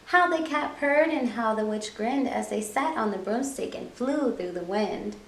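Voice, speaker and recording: A woman hosting a children's storytime, reading a picture book aloud with an expressive, rhythmic rhyming delivery. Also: a little high, measured pace. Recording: echoey room.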